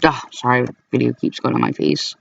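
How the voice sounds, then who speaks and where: A man speaking informally as an aggrieved caller, in a halting, repetitive way, recording complaints about calls. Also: mid-range voice, sing-song, vocal fry, a bit bright, recorded from a distance, highs cut off. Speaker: caller recording own phone calls to a police dispatcher. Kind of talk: informal complaint to camera and on phone.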